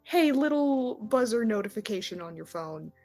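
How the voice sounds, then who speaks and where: A woman running a tabletop roleplaying game, narrating casually and haltingly in a conversational way. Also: medium pitch, expressive, darkish tone. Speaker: game master.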